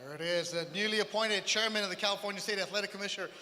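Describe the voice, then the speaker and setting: A man hosting a boxing press conference, introducing fighters with energetic, hype announcing. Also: high voice, very fast. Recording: reverberant hall with faint background noise.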